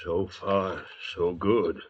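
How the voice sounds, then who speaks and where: Slow, surly convict cutthroat. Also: male voice, low-pitched, level tone. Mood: sour, wary. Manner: blunt, grumbling, skeptical. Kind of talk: 1940s radio drama acting. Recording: dull vintage radio recording.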